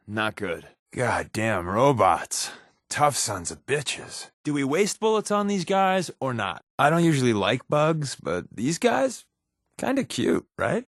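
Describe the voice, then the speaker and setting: An actor voicing a wry, sarcastic undercover agent who tells playful tall tales, as companion lines for a video game. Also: male voice, on the high side, fairly bright tone, dry recording, studio quality, heavily acted. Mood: combat banter, exasperated. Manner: wry, joking, sardonic.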